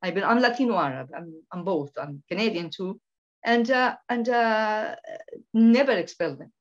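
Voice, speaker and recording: Woman, from Venezuela, emphatic, halting, lo-fi dull recording. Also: medium pitch, expressive. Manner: halting, many restarts and repetitions, emphatic. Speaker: guest, political researcher. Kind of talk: impassioned interview talk. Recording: dull lo-fi sound.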